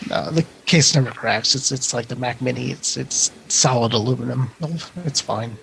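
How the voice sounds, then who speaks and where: Mid-pitched man in halting tech chat. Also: fairly even intonation, creaky, fairly bright tone, slight background noise, low-quality recording. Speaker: podcast co-host.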